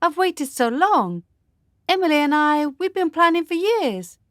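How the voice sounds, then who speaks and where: Young noble daughter played by a woman, period radio drama; high, very expressive voice, earnest and imploring. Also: a little slow, dry recording, studio quality. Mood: wistful, dismayed. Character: pleading, dreamy young noblewoman, a lord's daughter.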